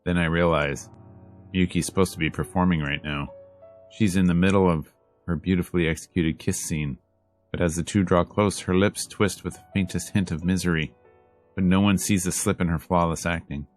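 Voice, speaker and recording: A man with an extremely deep voice reads a visual novel aloud. His narration is measured and dramatic, with emphasis on the emotional lines. Music plays softly behind him. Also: fairly even intonation. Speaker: let's player reading a visual novel aloud. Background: game music.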